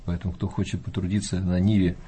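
A male Orthodox priest speaking in an unhurried, folksy way, with many fillers and hesitations. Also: low-pitched, little pitch movement, warm tone, slight background noise. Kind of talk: calm talk show conversation.

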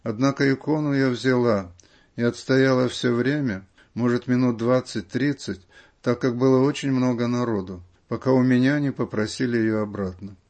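Guy reading letters out on the radio, scripted narration, medium-pitched voice. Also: fairly even intonation, moderate pace, a little mellow. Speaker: radio reader of listeners' letters.

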